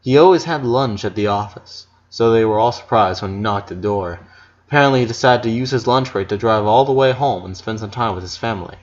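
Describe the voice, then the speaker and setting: A man's voice: lowish voice, brisk. A man reading a story aloud as narrator, in a dramatic scripted style. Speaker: audiobook narrator. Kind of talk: dramatic fan fiction narration. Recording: slightly dull, muffled audio.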